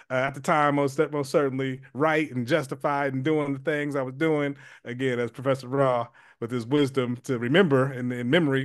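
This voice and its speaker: A man's voice: medium pitch, dry recording, studio quality. A man in his forties, a community leader, answering as an interview guest in an earnest, reflective way with many fillers and restarts. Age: 40s.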